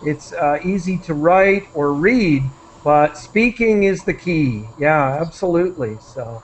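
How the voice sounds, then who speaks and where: A man's voice: fairly high pitch, expressive, a little slow, slight background noise. A man who is a native English speaker, chatting casually and encouragingly with students as their conversation teacher on a video call, with many fillers. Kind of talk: casual online class chat. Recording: muffled video-call audio.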